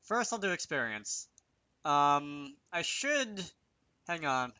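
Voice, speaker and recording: A man giving an unscripted programming tutorial, thinking aloud in a hesitant, halting way as he works. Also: on the high side, big pitch swings, pushed and bright, clear, resonant tone, no room sound. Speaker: game developer presenting a tutorial series.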